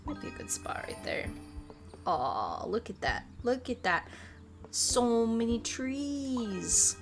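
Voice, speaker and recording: A sleepy American woman chatting casually as she streams a puzzle game, soft-spoken and relaxed, musing and answering viewers. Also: fairly high pitch, slow pace. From United States. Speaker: ASMR creator and live streamer. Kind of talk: casual chat while playing a puzzle game, reading and answering viewers' comments. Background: soft music.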